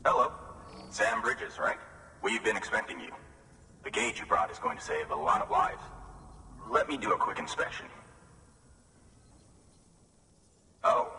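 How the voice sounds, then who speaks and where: A man's voice: lowish voice, bright, forceful tone, heavily acted. An actor playing a courteous, grateful male weather station worker in a video game, speaking politely and earnestly. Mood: welcoming, grateful. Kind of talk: video game cutscene acting. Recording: long reverb, faint noise.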